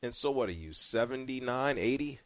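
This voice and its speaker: A man hosting a sports talk radio show, nudging a caller along with quick questions. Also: medium pitch, no echo. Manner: lively, prodding questions. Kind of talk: radio call-in chat.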